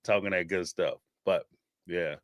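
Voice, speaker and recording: A man's voice: sits low, measured pace, dry recording, studio quality. A man with a Philadelphia accent hosting a live-stream show, talking casually with many fillers and frequent laughs. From Northeastern United States. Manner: animated and rambling, laughs often, many fillers. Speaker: live-stream show host taking call-ins.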